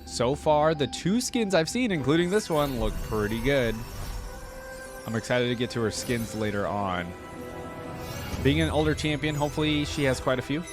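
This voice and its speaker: A man's voice: mid-range voice, moderate pace. A man hosting a reaction video, giving casual, conversational commentary and thinking aloud as he gives his opinions. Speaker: host of a fan reaction video. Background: music.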